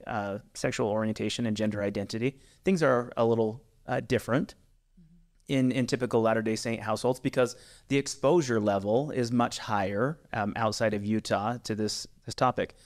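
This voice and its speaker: Upbeat podcast host, studio mic. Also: male voice, mid-range voice. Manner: upbeat, with frequent "uh" fillers and restarts. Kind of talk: casual podcast interview. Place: small studio with brick wall, indoors. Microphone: studio mic on boom arm.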